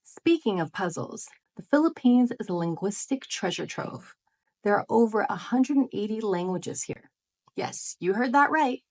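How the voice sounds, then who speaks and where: A woman speaks to her viewers in a friendly, explanatory tone. She often poses rhetorical questions in a voice of average pitch, as in a scripted vlog. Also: lively intonation, moderate pace, a little mellow. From United States. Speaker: vlogger. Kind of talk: scripted talk to camera.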